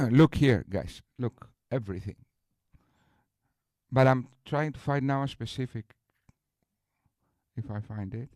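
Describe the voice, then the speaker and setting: A man's voice: medium pitch, animated, slow pace, pauses often, a little mellow, no room sound, studio quality. Boastful rambling man on a stream. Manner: boastful, rambling with many restarts. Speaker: streamer host. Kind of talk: casual live-stream talk.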